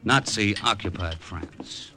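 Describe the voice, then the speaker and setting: An actor playing a wry, easygoing middle-aged American gambler, speaking in a laconic 1950s radio drama style. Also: male voice, fairly low pitch, slow pace. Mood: wry narration. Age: 40s. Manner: laconic, breezy, conversational. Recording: vintage radio drama recording.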